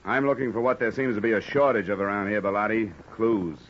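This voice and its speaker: An actor playing a tough, wry insurance investigator in an old radio drama, clipped and deadpan. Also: male voice, lowish voice, fast talker, lightly acted. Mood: dry, guarded. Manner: deadpan, clipped hardboiled wisecracking. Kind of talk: 1940s-50s radio detective drama acting. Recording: muffled, noisy old radio broadcast recording.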